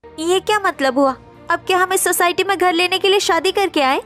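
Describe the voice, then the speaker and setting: An indignant young modern woman in a story drama, played by an actress. Her voice is extremely high, with a plaintive, protesting delivery. Light music runs underneath. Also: a little fast, a bit bright. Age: young adult. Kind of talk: acted Hindi story dialogue.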